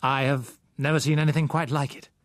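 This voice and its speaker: A man's voice: a little high, little pitch movement, fairly quick, no echo, natural voice. A male narrator plays an urbane managing director in an audiobook. He is formal and cautious, speaking in a reflective, guarded way. The recording is dry, with no room sound. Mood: guarded interest. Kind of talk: audiobook character voice.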